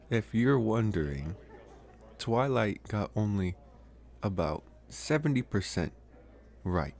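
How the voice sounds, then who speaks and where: A man playing a gentle, lonely young college vampire in an intimate ASMR roleplay, speaking softly and haltingly with long pauses. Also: fairly low pitch, very animated, vocal fry, heavily acted. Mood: relaxed, amused.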